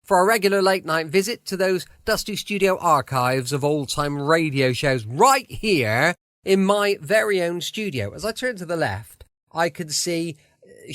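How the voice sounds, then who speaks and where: A man hosting a podcast, giving a relaxed, chatty introduction from a home studio. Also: fairly high pitch, dry recording. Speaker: podcast host.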